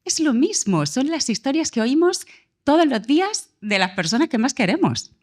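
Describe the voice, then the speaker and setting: A woman speaking passionately on a panel, with dramatic pauses and shifting pace. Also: high voice, very animated, darkish tone. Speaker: director on a panel. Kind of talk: live panel talk.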